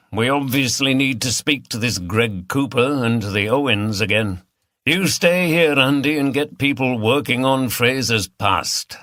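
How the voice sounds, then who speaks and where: A man voicing a thoughtful, brisk senior police detective in an audiobook, measured and authoritative. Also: medium pitch, sharp tone, no room sound, clean studio sound.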